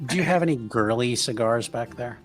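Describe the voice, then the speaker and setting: A man's voice: medium pitch, fairly quick. A man playing a tabletop roleplaying game, improvising his character casually and jokingly. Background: music.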